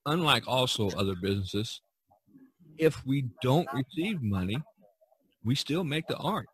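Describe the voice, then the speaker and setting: Halting man hosting a casual podcast. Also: mid-range voice, expressive, low-quality recording. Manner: halting and repetitive, often saying "you know". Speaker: podcast host and artist.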